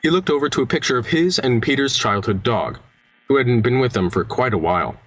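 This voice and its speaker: A man's voice: medium pitch, animated, fairly quick, a bit bright. A man acting as the storyteller, reading scripted narration for an amateur radio play. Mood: somber. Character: dramatic storyteller narrating a small-town murder mystery.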